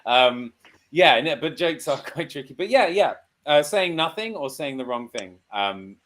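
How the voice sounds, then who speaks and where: A man's voice: a little high, very animated, measured pace, fairly bright tone. A man hosting a casual group discussion, speaking informally and encouragingly. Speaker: host.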